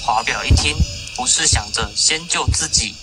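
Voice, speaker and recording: A man's voice: mid-range voice, normal speed, low-quality recording. Young man narrating over music. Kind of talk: scripted story narration for a radio play.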